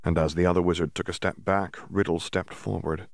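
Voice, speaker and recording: A man narrates a serialized story in a low voice, steady and plainly told. The recording is dry and close, with no room sound around him. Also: brisk, gravelly, dry recording. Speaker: audiobook narrator. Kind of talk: reading a fan fiction audiobook.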